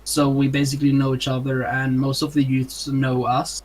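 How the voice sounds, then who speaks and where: A man's voice: mid-range voice, completely flat delivery, moderate pace, rough recording. A young man with a Norwegian accent, answering interview questions in casual, hesitant English with many ums and uhs. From Norway. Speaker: streamer, interview guest.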